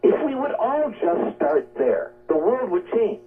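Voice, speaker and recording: A man's voice: high voice, big pitch swings, a little slow, faint music behind. A man giving an unscripted, rambling and emphatic health talk on the radio, exhorting listeners, heard over shortwave. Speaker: guest promoting health supplements. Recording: phone-like shortwave radio, distant from mic.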